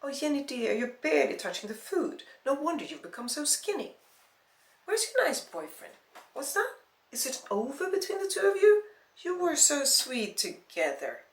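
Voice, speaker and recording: A cheerful, meddling old granny acted out by a woman in a storybook. Her voice sits high and swoops about as she fusses over the family. The sound is roomy and echoing. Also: very animated, normal speed, distant mic. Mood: fussing, gushing. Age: older. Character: cheerful, chatty, meddling elderly grandmother.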